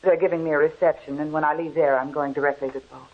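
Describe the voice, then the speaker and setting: An actress playing a proud, ambitious young stage star torn by old love, in theatrical old-time radio style. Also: female voice, low-pitched, steady tone, quick pace. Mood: subdued, pleading. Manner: theatrical, melodramatic delivery. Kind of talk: 1940s radio acting. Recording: muffled old radio horror recording.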